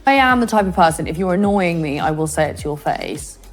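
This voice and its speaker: A woman's voice: on the low side, animated, a little fast, faint music behind. A woman with an upper-class English accent, speaking bluntly and mocking herself in a confessional interview. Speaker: reality show contestant.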